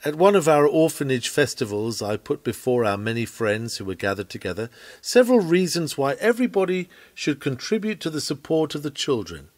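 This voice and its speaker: A man reading a written sermon aloud in an earnest, oratorical manner with measured phrasing. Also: a little high, very animated. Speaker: sermon reader.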